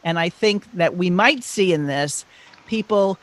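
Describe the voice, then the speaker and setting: A man hosting a podcast, speaking in a measured, deliberate and articulate way about matters of faith. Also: high voice, a bit bright. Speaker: podcast host. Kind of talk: measured religious discussion.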